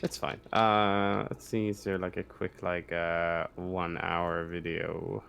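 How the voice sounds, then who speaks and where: A man with a fairly low voice acts as game master for a tabletop game. He improvises his narration casually, stammering and doubling back. A faint layer of background noise sits under the voice. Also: little pitch movement, gravelly, fairly bright tone. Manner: halting, stammering, backtracking.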